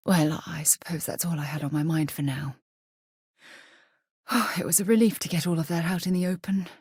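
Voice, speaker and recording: A composed female space explorer from a video game, with a low voice and very dry, close studio sound. She speaks in measured, articulate phrases, earnest and principled, with a wry edge that suits a former soldier. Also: a little mellow, breathy edge, no room sound. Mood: warm, wry. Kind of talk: video game dialogue acting.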